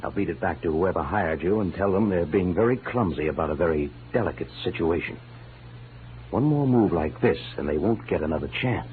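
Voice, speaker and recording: A man's voice: sits low, lively intonation, brisk. An actor playing a guarded, menacing bookie in a 1940s radio drama, curt and wary in his speech. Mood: quietly threatening. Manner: curt, wary, hesitant when questioned. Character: guarded, menacing male bookie posing as broker. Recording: tinny, hissy vintage radio broadcast.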